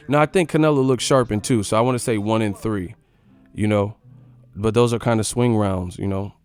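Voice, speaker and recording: A man's voice: on the low side, a little mellow, smooth. An American man hosting a live boxing stream, giving casual, opinionated analysis in a blunt, slangy way with some swearing.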